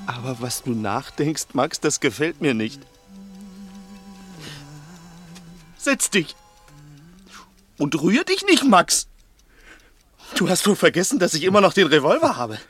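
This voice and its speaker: A man's voice: a little high, very animated, measured pace, long pauses, fairly bright tone, slightly rough, faint music behind, full character voice. A male actor playing a nervous, resentful small-time crook in an agitated, insistent way in a 1960s German radio play. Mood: threatening, rattled. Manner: agitated, insistent, repeating himself.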